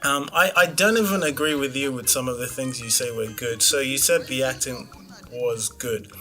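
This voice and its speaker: A man from Nigeria hosts a casual film review, voicing blunt, skeptical opinions with wry asides. His voice is mid-pitched and conversational. Faint music plays in the background. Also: moderate pace, sharp tone. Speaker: film critic host.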